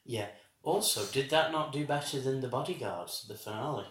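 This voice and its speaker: A man's voice: medium pitch, brisk, fairly bright tone. A man co-hosting a casual podcast chat, throwing in short, dry, teasing remarks. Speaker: podcast co-host. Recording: echoey room sound.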